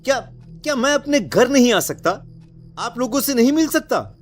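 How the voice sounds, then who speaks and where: A man's voice: extremely high pitch, very animated, moderate pace, soft background music. A man playing a dismissive, exasperated teenage son with a whiny delivery in a dramatized story.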